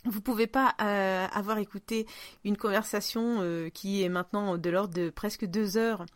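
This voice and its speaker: A woman's voice: medium pitch, fairly even intonation, moderate pace, dry recording, studio quality. A woman hosting a podcast in a casual, informal and playful conversational style. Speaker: podcast host.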